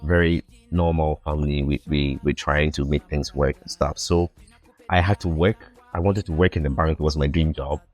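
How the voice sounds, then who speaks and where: Deep-voiced young man from Ghana. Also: fairly even intonation, moderate pace. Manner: earnest, reflective, repeats words while searching for phrasing. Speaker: guest. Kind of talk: casual interview storytelling.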